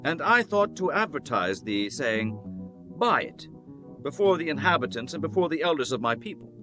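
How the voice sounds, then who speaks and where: A man's voice: fairly high pitch, sing-song, normal speed, music in the background. A man playing an earnest, honorable biblical landowner in solemn, declamatory scripture drama. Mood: formal, businesslike. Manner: solemn, declamatory, archaic formal speech. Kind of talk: dramatized scripture audiobook acting.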